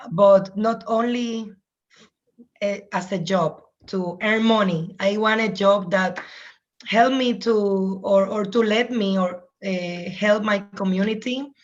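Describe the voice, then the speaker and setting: Slow Puerto Rican woman on a call. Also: mid-range voice, highs cut off. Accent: Puerto Rican Spanish. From Puerto Rico. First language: Spanish. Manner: halting, searching for words in English. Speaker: program coordinator. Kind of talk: video call introduction. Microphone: video call.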